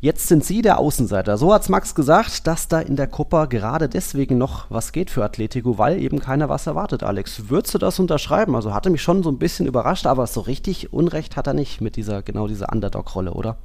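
Fast-talking gravelly podcast host. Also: male voice, medium pitch, animated, a little mellow. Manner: brisk, wraps up and hands over questions. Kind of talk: casual podcast chat.

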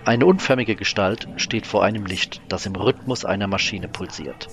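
A man's voice: fairly low pitch, moderate pace, creaky, a bit bright, soft background music. A man reading scripted scene-setting narration for a science-fiction audio drama.

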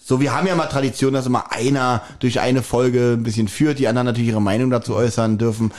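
A man's voice: mid-range voice, fairly even intonation, fast talker, darkish tone. A man co-hosting a podcast with casual, joking banter. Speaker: podcast co-host.

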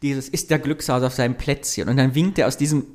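A man's voice: medium pitch, steady tone, fairly quick, darkish tone. A man with a Thuringian accent, co-hosting a podcast in casual chat, chatty and often laughing as he talks. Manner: chatty, laughs while talking, listing things off. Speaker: podcast co-host.

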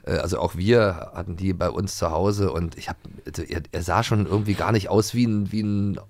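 A man from former East Germany chats easygoingly as a podcast co-host, often pausing and restarting his sentences. His voice sits low with a gravelly edge. The recording is close and dry. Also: no echo. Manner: relaxed, hesitant, with many restarts. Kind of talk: casual chat.

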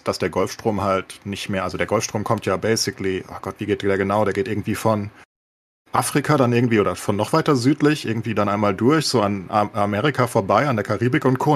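Fast-talking man with a lowish voice. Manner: colloquial, rambling, sprinkles English words and slang. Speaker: podcast co-host. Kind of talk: casual chat, explaining.

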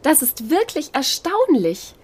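A woman's voice: high voice, big pitch swings, unhurried. A woman playing a kind, composed princess in a fairy-tale audio play, polite and matter-of-fact. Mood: reassuring. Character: kind, composed princess who gives orders.

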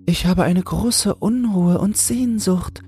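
A man's voice: sits high, slow, mellow, slightly rough, faint music behind, voice pushed up, full character voice. A man voicing a small, naive female toad full of yearning and wonder in a fairy tale, earnest and exclaiming. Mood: restless longing. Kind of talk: fairy tale audiobook character voice.

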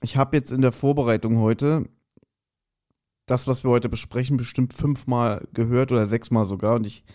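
A man's voice: mid-range voice, fairly even intonation, moderate pace, warm tone. A man hosting a podcast in casual, jokey chat, with a rambling, self-deprecating manner. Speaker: podcast host.

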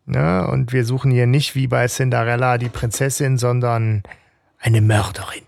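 A man's voice: medium pitch, steady tone, moderate pace, creaky, darkish tone, no room sound, studio quality. A man co-hosting a fan podcast, chatting casually about a radio play episode and steering the talk along. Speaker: podcast co-host.

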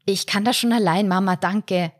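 A man's voice: sits high, fairly even intonation, moderate pace, natural voice. A woman voicing a flustered, panicked middle-aged husband in a read-aloud story. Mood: desperate. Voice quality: flustered, stammering.

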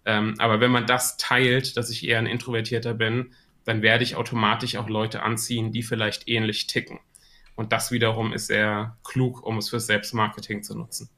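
A man's voice: fairly low pitch, level tone, moderate pace, pushed and bright. A man with a Hessian accent, a podcast guest talking about marketing in a thoughtful way with many filler sounds. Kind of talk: casual podcast interview.

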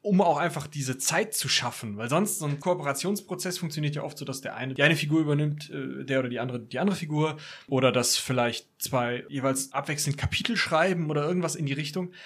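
A man's voice: fairly high pitch, quick pace, slightly rough. A man chatting as an author guest on a podcast in a colloquial, jokey way. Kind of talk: casual interview chat.

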